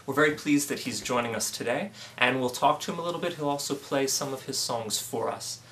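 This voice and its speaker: A man conducts an interview in a formal, measured way, reading a prepared introduction before asking polite questions. His voice is mid-pitched, with faint background noise. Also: fairly bright tone. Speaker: interviewer, author.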